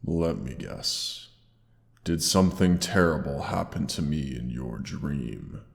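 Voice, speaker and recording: A man playing a tender, protective male dragon in an intimate audio roleplay, speaking with old-fashioned endearments in a gravelly voice. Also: expressive, full character voice. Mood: gentle, reflective. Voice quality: very deep, gravelly. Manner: slow, archaic, tender endearments. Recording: long cavernous reverb.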